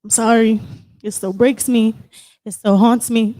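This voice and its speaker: A woman with a high, girlish voice, speaking softly and emotionally as she shares a personal story and reads a poem. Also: steady tone, unhurried, dry recording. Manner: soft-spoken, emotional, apologetic. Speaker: poet sharing at an open discussion. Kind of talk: personal sharing and poetry reading.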